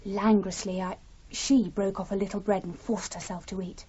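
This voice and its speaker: Female actor as quirky novelist, affected, airy, slightly raspy voice, muffled old radio drama sound. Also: medium pitch, level tone, moderate pace, warm tone, lightly acted. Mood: self-dramatising. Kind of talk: 1980s British radio drama acting. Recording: slightly dull archive radio recording.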